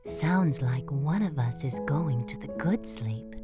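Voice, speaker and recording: A woman's voice: sits low, slow pace, over loud music. A woman voicing a tender, patient mother mouse in an audio drama, soothing and wistfully devout. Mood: dreamy, reassuring.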